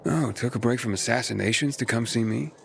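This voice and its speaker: A man's voice: mid-range voice, a little fast, creaky, soft background music, lightly acted. An actor playing a guarded young male wanderer who answers in short, laconic lines.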